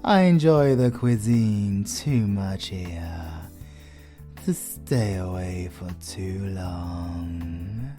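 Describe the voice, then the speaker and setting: A man acts out a courtly British vampire lord for an audio roleplay. He is suave and flirtatious, with theatrical, widely swinging intonation and an unhurried pace. He teases the listener playfully and genteelly, with faint music behind him. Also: low-pitched, lively intonation, warm tone, exaggerated voice. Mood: smooth, sly.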